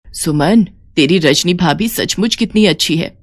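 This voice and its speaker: A woman voicing a gentle older mother-in-law in a cartoon story, affectionate and fretful about her family. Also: lowish voice, measured pace, dry recording.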